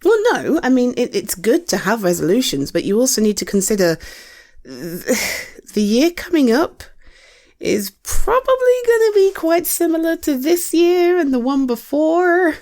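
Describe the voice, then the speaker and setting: A British woman playing a caring, supportive partner in an intimate conversational audio roleplay, speaking softly with a gentle, hesitant, coaxing manner. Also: high-pitched, very animated, measured pace, exaggerated voice. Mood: gently cautioning.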